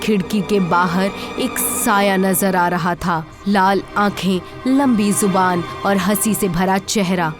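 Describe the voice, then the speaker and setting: A woman's voice: mid-range voice, steady tone, slow, few pauses, over music. A woman narrator reading a scripted horror story.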